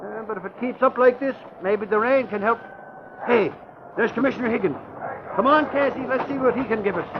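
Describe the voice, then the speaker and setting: An actor playing a brash male newspaper reporter in 1940s radio drama, brisk and bossy. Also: extremely high pitch, noisy background. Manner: brisk, bossy newsroom talk. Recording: muffled old radio broadcast.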